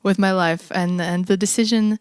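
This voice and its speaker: A woman from Slovakia, a non-native English speaker, talking as a guest composer in a casual interview, thoughtful and hesitant with many pauses. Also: mid-range voice, fairly even intonation, smooth, no room sound, studio quality.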